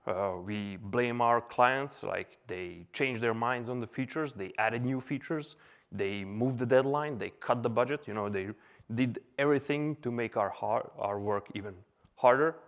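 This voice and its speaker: A man in his thirties from Serbia talks to a conference crowd in an easygoing, chatty way, with plenty of fillers. His voice sits at an ordinary middle pitch. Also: a little slow. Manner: casual, chatty with filler words. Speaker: conference speaker. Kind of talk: conference talk. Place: conference hall.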